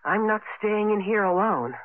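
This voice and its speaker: A woman's voice: medium pitch, animated, normal speed, lightly acted. An actress playing an anxious, hesitant American woman, frightened and lost, in a radio drama. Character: frightened woman lost with her husband in a deserted town. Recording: noisy, lo-fi radio broadcast recording.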